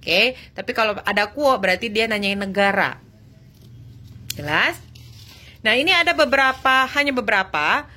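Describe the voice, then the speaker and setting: A woman teaching a Mandarin lesson, reading example phrases slowly and explaining each one, now and then correcting herself and checking that it is clear. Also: a little high, expressive, bright, forceful tone. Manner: self-correcting, checks understanding with "jelas?". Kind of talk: slow language lesson, reading and translating example sentences.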